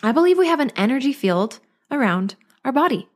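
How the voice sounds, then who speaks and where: A woman's voice: high-pitched, very animated, fairly quick. A woman in her thirties, a mother and life coach, hosting her own podcast in an earnest, bubbly, chatty way. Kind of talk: casual solo podcast monologue.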